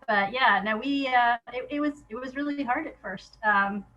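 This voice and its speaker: A woman's voice: fairly high pitch, moderate pace, bright, forceful tone, dull audio. A woman speaking as an interview guest in a casual, reflective way, candid and hesitant, often restarting her thoughts. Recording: noticeable background noise.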